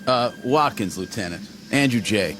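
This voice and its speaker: Casting: male actor for worn-down, cheeky private, low voice, dry casual delivery, radio play with clear music underneath. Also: expressive, moderate pace, close to an everyday voice. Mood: dryly insolent. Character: insolent, laid-back infantry private, worn down by war. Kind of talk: radio drama acting. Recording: music behind the dialogue.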